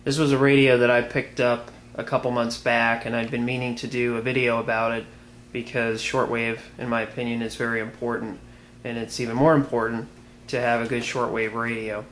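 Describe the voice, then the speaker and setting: An American man giving an informal product demonstration as a video reviewer, plain-spoken and unhurried. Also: mid-range voice, flat delivery, fairly bright tone. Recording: clearly noisy amateur video sound.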